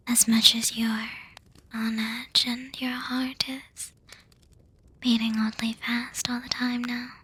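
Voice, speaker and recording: A woman voicing a young, doting, refined vampire girlfriend in an ASMR roleplay, whispering breathily with hesitant pauses and soft hums. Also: a little high, a little hiss or hum. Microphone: close microphone, dry.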